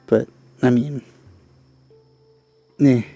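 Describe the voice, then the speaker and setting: A man's voice: mid-range voice, steady tone, drawn-out words, vocal fry, warm tone, no echo, lo-fi sound, soft background music. A man giving casual, offhand commentary as he plays a video game, with a wry manner. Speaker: gamer, let's play host.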